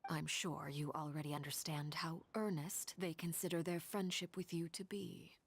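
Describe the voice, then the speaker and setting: A woman playing a composed, commanding leader of a family of orphans in a video game, speaking formally and with measured, precise words. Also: sits low, little pitch movement, vocal fry, breathy edge, no room sound, heavily acted. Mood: earnest, composed. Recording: music behind the voice.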